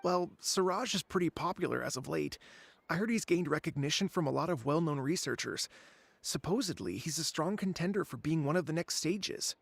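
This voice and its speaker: Higher-voiced, creaky young academic. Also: male voice, expressive, a little fast, dry recording. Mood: helpful, modest. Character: unambitious, talkative young male academic. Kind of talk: video game voice acting.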